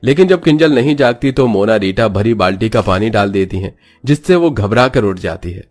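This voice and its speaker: Low-voiced male story narrator. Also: expressive, normal speed, faint music behind.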